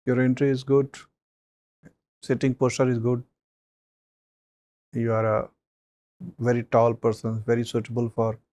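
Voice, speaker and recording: A man, a mock interview panelist giving measured advice with many pauses and fillers. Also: mid-range voice, a little mellow, no echo.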